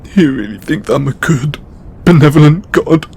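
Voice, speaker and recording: A man playing a stressed, tender god confiding in a lover in an intimate audio roleplay, speaking haltingly with stammers and sighs. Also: expressive, warm tone, husky. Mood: hurt, self-doubting. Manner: hesitant, halting, stammering and sighing. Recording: background noise with low rumble.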